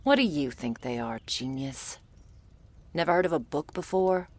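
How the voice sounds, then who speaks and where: A woman playing a gruff, world-weary female monster hunter in an ASMR audio roleplay, curt, sardonic and bossy as she addresses the listener. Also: animated, moderate pace. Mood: gruff, impatient. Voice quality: low, husky, breathy with gravelly creak.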